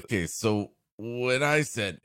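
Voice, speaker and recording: A man's voice: medium pitch, animated, takes it slowly, a bit bright, clear, resonant tone, no room sound, studio quality. A man co-hosting a comedy podcast, improvising rambling banter in a mock-earnest manner. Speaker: podcast co-host.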